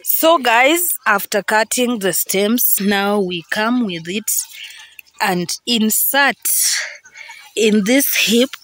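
A middle-aged woman with a Kenyan English accent, slowly explaining farm work step by step in an outdoor vlog filmed on a handheld phone. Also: medium pitch, expressive, fairly bright tone, no echo, low-quality recording. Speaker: vlogger. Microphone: handheld phone camera.

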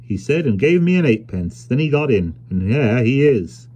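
A man voicing a hearty, plain-spoken carrier who talks loudly and chuckles. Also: mid-range voice, sing-song, normal speed, husky, voice pushed up.

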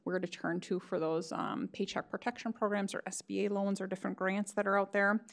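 Woman health official briefing a board remotely; slight fry, halting and filler-heavy. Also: mid-range voice, little pitch movement. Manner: hesitant, frequent fillers. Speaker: county public health official.

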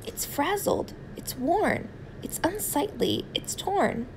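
A woman voicing an exasperated, scolding mother in a storybook, with emphatic drawn-out words. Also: high voice, big pitch swings, a little hiss or hum, voice pushed up, heavily acted, poor audio.

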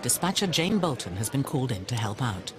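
A man's voice: medium pitch, very animated, a little fast, background noise. A man reading scripted narration for a television documentary.

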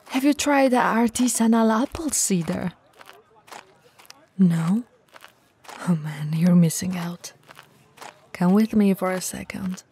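A woman playing a very tall, friendly, teasing bento shop owner in an ASMR roleplay, speaking softly and breathily, sometimes dropping into a whisper. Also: mid-range voice, creaky, slight background noise. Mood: friendly, inviting.

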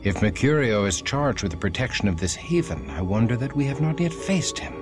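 An actor playing a brooding, righteous crusader knight turned vampire, speaking formal archaic English in a video game. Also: male voice, on the low side, big pitch swings, fairly quick, over music. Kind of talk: theatrical video game acting.

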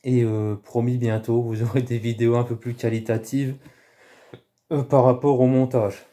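A man in his thirties vlogging casually to a phone camera at home, talking in a halting, rambling way with many restarts. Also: medium pitch, level tone, mellow. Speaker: YouTuber doing a prank call. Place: small room indoors at home. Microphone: phone camera held low at arm's length.

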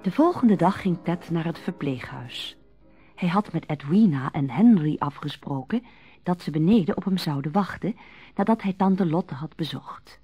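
A woman narrating a Dutch radio drama from a script in a soft, husky voice. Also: fairly low pitch, expressive, normal speed, highs cut off, faint music behind.

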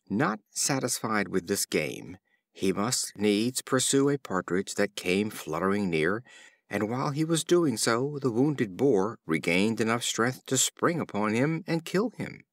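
A man narrating a storybook of fables in a measured, expressive reading. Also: fairly low pitch, no echo, clean studio sound. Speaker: audiobook narrator.

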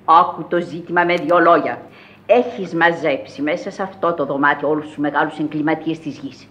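Imperious eccentric old countess, muffled recording. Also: female voice, low-pitched, fairly even intonation, fairly bright tone. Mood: stern, measured. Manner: theatrical, commanding, solemn declaiming. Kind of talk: radio theatre acting. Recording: dull, lo-fi archival radio recording.

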